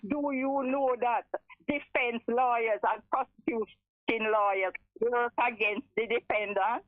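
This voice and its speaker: Elderly woman, Jamaican accent, highish strong voice, thin telephone sound, emphatic phone-in delivery. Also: fairly even intonation, measured pace, dry recording. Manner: emphatic, repeats phrases for force. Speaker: radio call-in listener. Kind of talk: impassioned live phone-in talk. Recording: thin, narrow telephone line.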